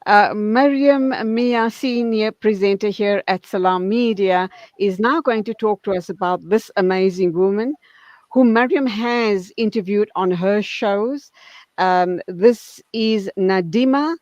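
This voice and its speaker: Woman, live radio host, medium pitch, hesitant phrasing, reactive, dry lo-fi audio. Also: unhurried, a little mellow, no echo. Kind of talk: live radio hosting, introducing guest. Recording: slightly dull lo-fi broadcast.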